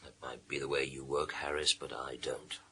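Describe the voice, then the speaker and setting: A man's voice: extremely low pitch, little pitch movement, normal speed, pushed and bright, full character voice. A man acting as a firm, commanding police inspector in a radio thriller. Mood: stern, controlled. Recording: clear background noise and clicks.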